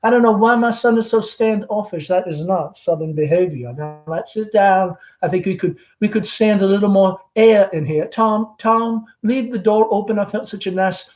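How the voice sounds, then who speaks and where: A man's voice: sits high, moderate pace, few pauses, a little mellow, soft background music, lightly acted. A man voicing a chatty middle-aged Southern belle mother, genteel and fretful, with a gushing, rambling, theatrical manner, in a stage play reading. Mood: gushing, sociable.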